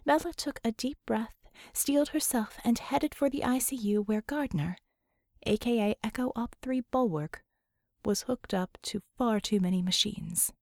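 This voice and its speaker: A woman's voice: a little high, moderate pace, a little mellow, no echo, clean studio sound. A woman reading scripted fiction expressively as an audiobook narrator.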